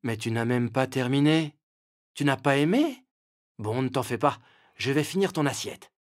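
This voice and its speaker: An actor voicing a friendly, greedy, thoughtless male fox in a children's animated fable, chummy and eager. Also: mid-range voice, normal speed, close to an everyday voice. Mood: cheerful, oblivious.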